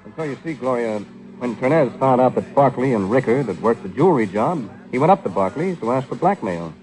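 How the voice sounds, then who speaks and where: An American actor playing a cool, sardonic, teasing private detective in a vintage 1940s radio drama. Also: male voice, mid-range voice, animated, brisk, darkish tone, soft background music, natural voice, poor audio. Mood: explaining. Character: wisecracking, cool-headed male private detective, flirtatious with women.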